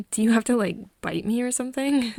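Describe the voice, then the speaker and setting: A woman playing a nervous, tender young friend of a vampire in an ASMR roleplay, speaking softly and hesitantly, often slipping into a whisper. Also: fairly high pitch, no echo. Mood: hesitant, anxious. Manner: hesitant, halting, breathy whispering mixed with soft speech. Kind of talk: ASMR roleplay, intimate one-sided dialogue to listener.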